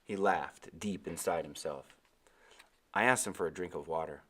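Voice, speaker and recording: A man's voice: sits low, normal speed, a bit bright, slightly rough. A man reading a novel aloud as its narrator, in scripted storybook narration.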